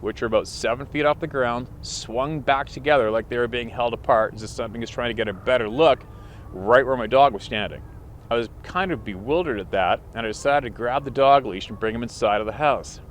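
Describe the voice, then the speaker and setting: A man's voice: mid-range voice, a little hiss or hum. A man hosting a channel, reading a listener's letter aloud as a plain story, recorded outdoors. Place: outdoors by the water.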